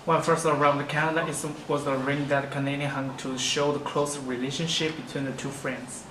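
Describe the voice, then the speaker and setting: Guy with a higher voice, pretty flat, reading lines in a boomy room. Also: fairly quick. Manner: flat recited statements. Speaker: international student. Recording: echoey room from far off, noisy.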